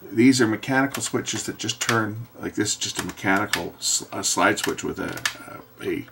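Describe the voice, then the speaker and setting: A man with a low, creaky voice narrates a hands-on repair. He talks casually and thinks aloud, pausing as he works things out. There is faint noise behind him, with small clicks from handling parts. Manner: thinking aloud, hesitant while working. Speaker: host repairing electronics.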